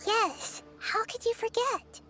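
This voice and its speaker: A woman voicing a kind, wise young girl deity in a video game, gentle, earnest and reassuring. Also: very high-pitched, takes it slowly, over music. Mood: thoughtful.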